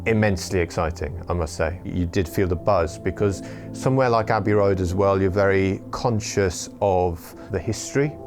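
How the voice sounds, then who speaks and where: A middle-aged Welsh man giving an interview, talking in a measured, reflective way with careful wording. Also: low voice, over music. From Wales. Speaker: interviewee, former orchestral violinist turned trader.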